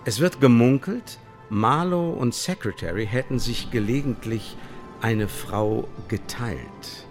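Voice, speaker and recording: A male actor plays a secret service officer in a radio drama. He is coolly calculating and speaks in measured officialese, as if every word belongs in a file. His pitch is medium for a man. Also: faint music behind, lightly acted. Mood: dry, official.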